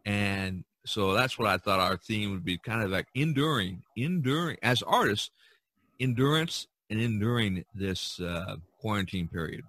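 Male podcast host, deliberate and conversational, crisp bright tone in a dry recording, faint music. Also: fairly low pitch, no room sound.

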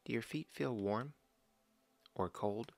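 A man slowly and calmly guiding a body scan meditation, giving gentle step-by-step instructions with long pauses. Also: mid-range voice, vocal fry, no echo. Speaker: meditation guide.

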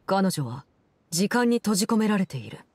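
An actress playing a cold, commanding woman in an anime game, speaking in a measured, formal, detached way. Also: medium pitch, sing-song, dry recording. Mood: calm, resolute. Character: cold, composed, commanding female Fatui Harbinger in her prime. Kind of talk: Japanese anime game voice acting, solemn storytelling.

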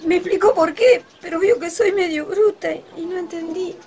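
An actress playing an emotional, humble young country wife in a radio soap opera. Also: female voice, very high voice, normal speed, a little mellow, slight background noise. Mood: sad, humble. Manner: simple, deferential, emotional. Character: tearful, humble young country wife, former ranch worker.

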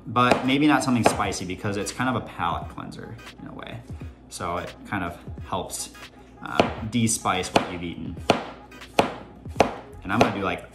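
A man's voice: low-pitched, normal speed. A young man chatting casually while he cooks in a home kitchen, rambling with playful asides. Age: young adult. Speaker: home cooking vlogger. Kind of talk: casual cooking vlog chat. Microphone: camera on the counter, moving around the kitchen. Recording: clear background music under the voice.

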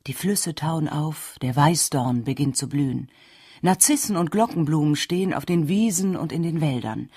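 A woman's voice: sits low, dark tone, breathy edge, no echo, studio quality. A woman narrating a folk tale as a scripted audiobook. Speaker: storyteller.

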